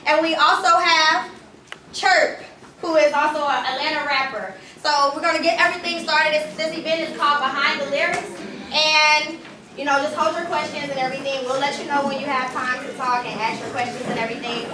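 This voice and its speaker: A woman's voice: high-pitched, fairly even intonation, fairly quick. A woman moderating a live panel discussion, recorded at a distance in an echoey room. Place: live event room with audience. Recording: echoey room, distant mic, faint noise.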